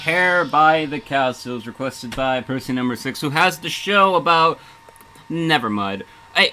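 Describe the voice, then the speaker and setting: A man's voice: medium pitch, pushed and bright, slight background noise, rough recording. A man hosting a music radio show in casual DJ patter, rambling, joking and self-deprecating. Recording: music playing faintly underneath. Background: faint music.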